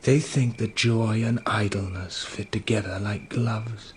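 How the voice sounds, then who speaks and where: A man's voice: on the low side, steady tone, unhurried, slight background noise, close to an everyday voice. An actor playing a stern, suspicious, authoritarian king in an audio drama, speaking in a clipped, commanding way. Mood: scornful resolve.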